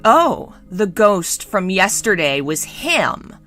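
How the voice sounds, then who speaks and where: Woman acting a loud, spoiled, raging young nobleman. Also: medium pitch, sing-song, slow pace, faint music behind. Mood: cool sneer. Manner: shouting, sneering, foul-mouthed.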